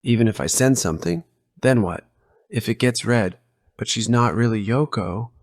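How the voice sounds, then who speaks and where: An actor playing a lovelorn office worker around thirty in a manga dub, brooding and tentative, with a gravelly voice. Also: male voice, mid-range voice, normal speed, no room sound, clean studio sound, close to an everyday voice. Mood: hesitant, wondering. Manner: brooding, tentative self-questioning. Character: lovelorn, hesitant office worker in his late twenties. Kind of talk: anime-style dub inner monologue.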